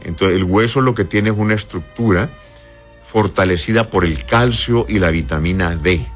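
A man with a very low voice hosts a medical advice show. He reads out listener messages and answers directly, explaining things plainly and often checking with a short tag question. Faint music plays behind him.

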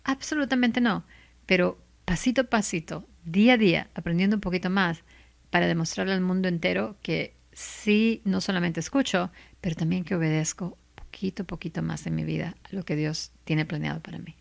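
A middle-aged woman, a lawyer and legal commentator, speaking as an interview guest in an animated, heartfelt way, laughing often and repeating words for emphasis. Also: fairly low pitch, a little fast, creaky. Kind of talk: faith-based interview answer.

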